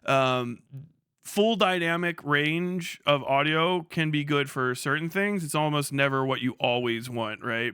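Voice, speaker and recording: Bright, clear-voiced man streaming casually. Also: a little high, no echo, clean studio sound. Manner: profane, slangy, conversational. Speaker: livestream host. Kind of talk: casual livestream commentary.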